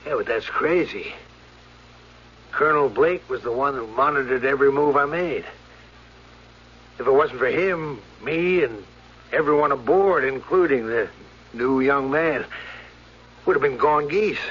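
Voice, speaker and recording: An actor playing a weary, insistent elderly doctor with a folksy, hesitant way of talking in a radio drama. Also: male voice, mid-range voice, measured pace, pauses often, slightly rough. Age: older. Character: weary elderly doctor who landed a plane, insistent, plain-spoken. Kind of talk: 1970s radio drama acting. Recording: muffled radio broadcast with hum.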